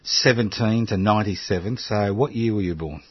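A man's voice: fairly low pitch, a little fast, breathy edge, dry recording. An older man hosting a casual radio chat, joking and asking questions. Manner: chatty, jokey interviewer with lively rises. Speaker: radio host. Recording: dull lo-fi sound.

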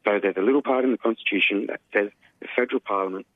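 A man, an activist guest speaking earnestly in a radio interview, his voice croaky. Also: lowish voice, very fast, dry recording. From Australia. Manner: earnest, emphatic, frequent "you know" fillers. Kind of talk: radio interview over phone. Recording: tinny phone line, dry.

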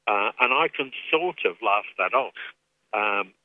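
A man with an English accent, speaking as an activist guest in a radio phone interview, earnest and measured with frequent restarts. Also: fairly low pitch, expressive, no room sound. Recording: tinny phone line.

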